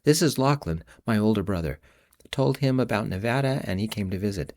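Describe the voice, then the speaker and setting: A man's voice: on the low side, lively intonation, a little fast, a little mellow. A man voicing an outgoing young college student who talks in a playful, upbeat way.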